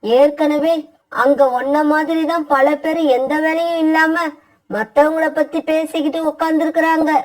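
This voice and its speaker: A woman's voice: high-pitched, level tone, normal speed, lightly acted, rough recording. A woman voicing a bossy female kite bird doctor in a cartoon, speaking curtly and dismissively. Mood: scornful. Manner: curt, dismissive, lecturing. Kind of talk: cartoon voice acting.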